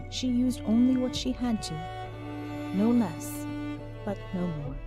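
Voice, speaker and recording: A woman narrating a dramatic story in a solemn, measured, scripted style. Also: medium pitch. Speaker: audiobook narrator. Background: music.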